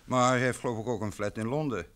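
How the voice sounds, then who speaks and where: A male actor portrays a country innkeeper in an old radio play. He is obliging and talkative, a man of middling pitch who is always ready to serve his guests. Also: quick pace, a bit bright, natural voice. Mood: helpful, chatty.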